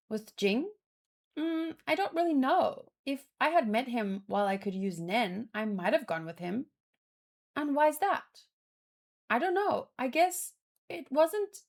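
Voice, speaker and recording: A woman with a fairly high-pitched voice reads a story aloud. She voices the dialogue informally and expressively, slipping into offhand commentary as a read-along host would. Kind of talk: reading dialogue aloud with casual reaction commentary.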